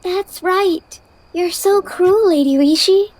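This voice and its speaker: A woman's voice: very high voice, takes it slowly, a little mellow, no room sound. A woman voicing a cutesy, tearful young noblewoman in an anime fan dub, plaintive and sugary. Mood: pleading.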